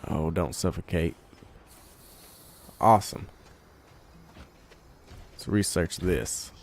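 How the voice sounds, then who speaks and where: A man with a congested voice from a cold, giving relaxed let's play commentary, thinking aloud while he plays. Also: deep voice, slow, vocal fry, a little hiss or hum. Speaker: gamer.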